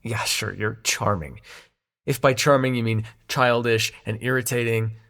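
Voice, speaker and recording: Man playing a gravelly, sardonic young demon prince. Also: medium pitch, fairly even intonation, a little slow, a bit bright. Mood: teasing, fond. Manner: sardonic, teasing, hesitant when showing affection. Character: snarky young demon prince of nightmares, secretly soft-hearted. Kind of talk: intimate audio roleplay addressed to the listener.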